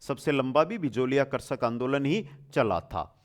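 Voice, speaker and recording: A man's voice: mid-range voice, animated, moderate pace. A man teaching an exam lesson quiz-style, reading out questions and repeating key phrases emphatically for drilling.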